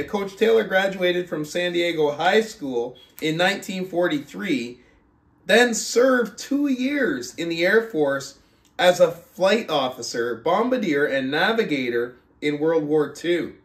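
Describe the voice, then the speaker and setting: A man with a voice a bit higher than most hosts a book club podcast. He speaks in a deliberate way, leaving pauses and stretching words for emphasis. The recording is noticeably echoey, with the room clearly audible around his voice. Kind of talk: casual podcast talk and reading a book aloud. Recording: roomy, echoing sound.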